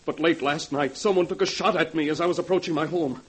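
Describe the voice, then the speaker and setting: Anxious higher-voiced man, pleading. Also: quick pace, breathy edge. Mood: worried. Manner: urgent, earnest, pleading. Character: anxious, pleading ruined businessman, tense and desperate. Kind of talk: 1940s radio acting. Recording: vintage 1940s radio recording.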